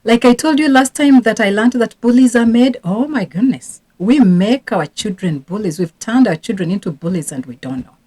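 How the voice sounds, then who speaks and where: A woman's voice: on the high side, animated, normal speed, hardly any pauses, dark tone, breathy edge. A woman from Rwanda, a teacher and parenting coach, talking casually and earnestly to camera about her own parenting regrets. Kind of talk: casual advice talk to camera.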